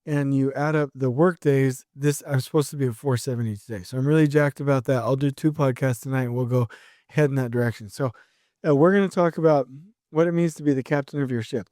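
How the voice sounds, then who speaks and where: A man's voice: on the high side, no echo, studio quality. Upbeat male podcaster, slightly scratchy throat. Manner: energetic, rambling, many restarts. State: sore throat, a bit scratchy. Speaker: podcast host. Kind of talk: solo podcast monologue.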